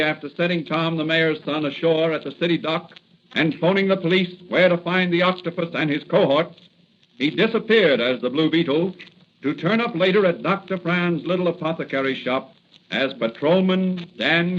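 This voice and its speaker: A man's voice: on the high side, highs cut off. A man announcing a 1940s radio serial in a dramatic, declamatory way, on an old broadcast recording.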